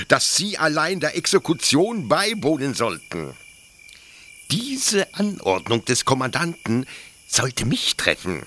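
An actor playing a fanatical, pleading officer in a German radio play, speaking in an impassioned, halting and insistent way. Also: male voice, mid-range voice, pushed and bright, a little hiss or hum. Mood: earnest, flattering. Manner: impassioned, halting, insistent, mimicking others in mockery. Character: fanatical, pleading male officer devoted to an execution machine.

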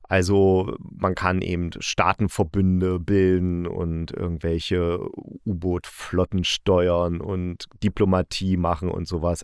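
A man's voice: fairly low pitch, no room sound, studio quality. A man giving a casual, colloquial and opinionated spoken review, retelling stories as a host. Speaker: host reviewing audio dramas.

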